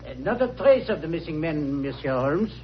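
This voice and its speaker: An actor playing a polite, eager French detective in a 1940s radio drama, courteous, with a French accent. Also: male voice, fairly high pitch, animated, normal speed, natural voice. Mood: earnest. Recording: dull noisy 1940s radio recording.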